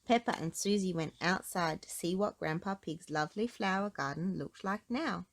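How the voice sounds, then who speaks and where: A woman reading a storybook aloud to children in an expressive narrating style. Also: lowish voice, normal speed. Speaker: storybook reader.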